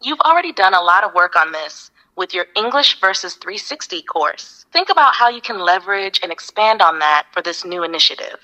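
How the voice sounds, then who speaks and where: A woman's voice: fairly low pitch, animated, brisk, hardly any pauses, pushed and bright, rough recording. A synthetic woman's voice of an AI assistant, giving upbeat, polished step-by-step advice. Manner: upbeat, polished, evenly paced listing. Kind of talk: scripted advice reading.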